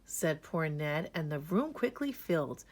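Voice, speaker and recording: Animated woman reading a rhyming children's story. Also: deep voice, unhurried. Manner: rhythmic, dramatic emphasis on key words. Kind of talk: expressive children's read-aloud.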